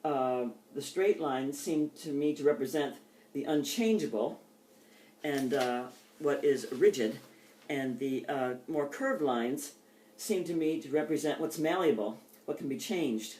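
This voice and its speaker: The voice is an older woman's, with the careful phrasing of a poet at a reading. She speaks in a measured, deliberate way. The sound is strongly echoey, with the room clearly heard around her. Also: low voice, dark tone. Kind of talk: poetry reading with informal introductions.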